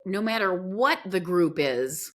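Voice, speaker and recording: A woman, a podcast guest who grew up in Guyana and Pakistan, talking in a casual, expressive storytelling way. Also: fairly low pitch, takes it slowly. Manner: expressive storytelling, acts out quoted reactions. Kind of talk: casual conversational interview.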